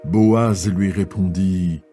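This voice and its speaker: A man's voice: deep voice, fairly even intonation, very slow, mellow, soft background music. A man narrating a dramatised scripture reading as a scripted storyteller.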